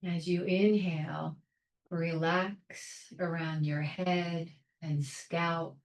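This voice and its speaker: A woman leading a guided meditation in a calm, soothing, measured way, leaving long pauses between short phrases. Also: fairly low pitch, reverberant, poor audio. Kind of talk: guided meditation, soft instruction.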